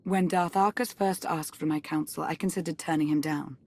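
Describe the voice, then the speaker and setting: Female Sith intelligence chief, lowish pitch, composed, formal, measured, proud, self-reproachful, game cutscene drama. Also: fairly even intonation, a little mellow, slightly rough. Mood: regretful resolve.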